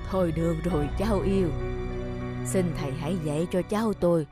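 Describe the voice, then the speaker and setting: A caring old grandfather, acted out by a woman, gentle and earnest in a children's cartoon. Faint children's music plays behind. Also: lowish voice, fairly quick, natural voice. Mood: tender.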